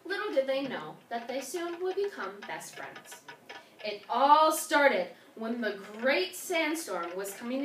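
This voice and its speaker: High female voice, animated storyteller, emphatic folktale narration, roomy distant recording with faint noise.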